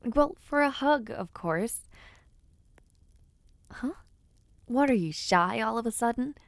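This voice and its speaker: Woman acting a slow, creaky-voiced young noblewoman. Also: fairly high pitch, animated, no room sound. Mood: affectionate, playful. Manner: soft, breathy, hesitant, with sighs and murmurs. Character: affectionate young noblewoman, playful but nervous before her debut. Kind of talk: intimate audio roleplay acting, addressing the listener.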